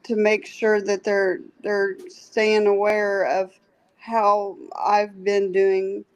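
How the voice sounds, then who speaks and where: Very slow-talking woman guest. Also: medium pitch, monotone, clear, resonant tone, lo-fi sound. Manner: slow, halting, with many restarts and fillers. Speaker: guest, pest control safety director. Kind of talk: casual podcast chat.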